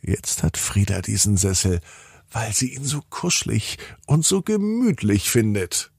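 A man narrating a bedtime story for children in an expressive, playful way, drawing out words and pausing for effect. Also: lowish voice, gravelly, slightly rough, no room sound, clean studio sound. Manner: deliberate, playful, with drawn-out words and dramatic pauses.

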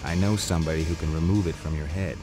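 A man playing a gruff, streetwise getaway driver and handler in a video game, talking in a terse, informal, worldly way. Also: extremely low pitch, fairly even intonation, fast talker. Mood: low-key briefing. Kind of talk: video game cutscene acting. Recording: loud music behind the dialogue.

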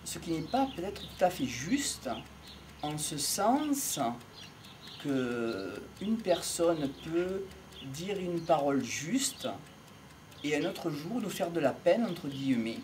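A middle-aged man gives an improvised, reflective monologue in a measured way, pausing between short phrases. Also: a little high, sing-song, darkish tone, slight background noise. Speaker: amateur lecturer on psychology and philosophy. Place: outdoors in an open field by trees. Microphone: small wired mic held near the mouth.

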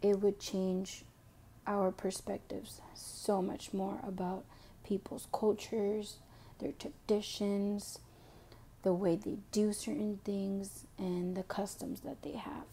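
A young woman with a Mexican background reading a prepared self-introduction as an education student, slowly and carefully. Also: mid-range voice, level tone, dark tone, slight background noise. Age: young adult. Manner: slow, careful reading, switching to Spanish for a passage.

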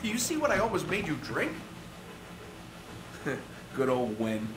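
An actor playing an unhinged, chatty rogue CIA operative in a video game, rambling with flippant, jokey menace. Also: male voice, a little high, wildly swooping pitch, slow pace, echoey room, full character voice, rough recording. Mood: manic, breezy. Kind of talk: video game cutscene acting.

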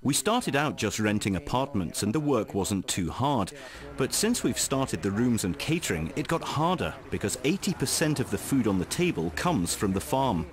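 A man reading a translated voice-over in an even, measured way. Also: medium pitch, crowd noise. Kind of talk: dubbed voice-over.

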